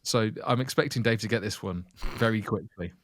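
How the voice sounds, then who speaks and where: A man hosting a football quiz on a casual podcast, reading out clues in steady lists. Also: lowish voice, fairly quick. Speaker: quiz host.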